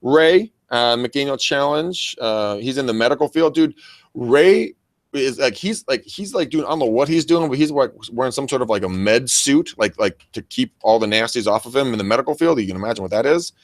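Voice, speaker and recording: Male co-host, American, very loud, enthusiastic and bro-ish, loose unscripted chat with slang and cursing, recorded dry. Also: medium pitch, lively intonation, brisk, dry recording. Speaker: co-host of a real estate training show and agent. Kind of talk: casual unscripted show chat with shout-outs. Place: private office.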